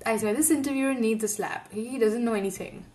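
A woman's voice: fairly high pitch, quick pace, darkish tone, faint music behind. An outspoken young woman from India gives casual reaction commentary, emphatic and talking with her hands. English is not her first language. She sits a little back from her earphone mic in a home bedroom, so her voice sounds slightly distant. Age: young adult. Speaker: reaction video host.

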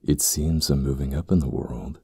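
An actor playing a stern, ambitious temple priest in a fantasy audio drama, speaking formally and gravely with a husky, gravelly voice. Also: male voice, very deep voice, fairly even intonation, normal speed, dark tone, no echo, clean studio sound, exaggerated voice. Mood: proud, grave. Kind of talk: fantasy audio roleplay acting.

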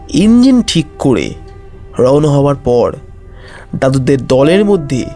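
A man's voice: fairly high pitch, a little mellow. A man narrating a scripted horror story in a suspenseful style. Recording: music bed under voice. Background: music.

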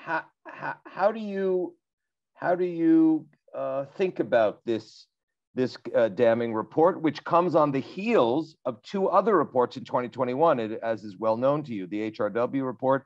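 A middle-aged man interviewing over a video call, halting and searching for words. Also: mid-range voice, expressive, dull audio. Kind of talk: interview questions. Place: dark room indoors.

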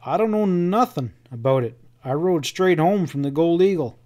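A man voicing a grave, wounded cowboy in a Western audiobook, quiet and plain-spoken. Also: a little high, animated, moderate pace, pitched above the performer's own voice, lightly acted. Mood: defensive. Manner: quiet, rustic Western speech.

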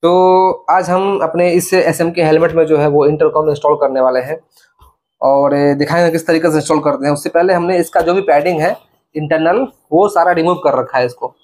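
A man's voice: a little high, steady tone, normal speed, a little mellow. A young man, explaining step by step to camera in a casual vlog style. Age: young adult. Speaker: vlogger. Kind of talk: casual product installation demo to camera. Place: indoors in a small bedroom.

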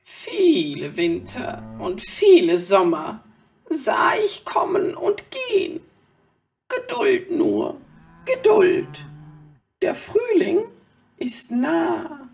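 A woman voicing a tiny ancient gnome in a children's audio play, gently murmuring with patient, whispery slowness. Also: high voice, wildly swooping pitch, gravelly, recorded from a distance, faint music behind, heavily acted. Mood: gentle, soothing. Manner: slow, soothing murmur.

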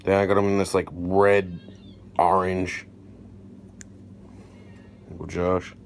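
A man's voice: low voice, little pitch movement, slow, gravelly. A man casually narrating an unboxing vlog as the creator, indoors at a table. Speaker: fishing video creator. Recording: noticeable background noise.

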